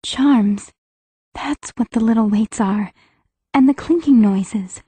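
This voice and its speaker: A woman's voice: on the high side, slow pace, mellow, breathy edge, no echo, full character voice. A woman playing a plucky, practical teenage noble girl mage held captive in a fantasy audiobook. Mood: wary, thinking. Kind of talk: full-cast audiobook acting.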